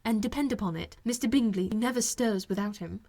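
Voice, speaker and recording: A woman voicing a lively, witty young gentlewoman in an audiobook, speaking in an arch, emphatic way. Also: medium pitch, fairly quick, natural voice. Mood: teasing, indignant. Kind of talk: audiobook character voice.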